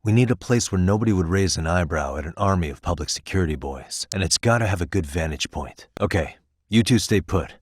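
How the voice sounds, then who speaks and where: Video game private eye, thirties, deep register, level-headed, businesslike and determined, dry studio sound. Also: male voice, animated, fairly quick, husky, no echo. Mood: focused, planning. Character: shrewd, level-headed male private detective in his thirties. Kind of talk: English video game voice acting.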